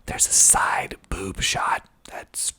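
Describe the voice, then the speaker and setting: A man's voice: slow pace, pushed and bright. A man whispering breathily in an ASMR style as he reads aloud, adding wry, understated asides. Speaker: filmmaker and actor reading reviews of own film.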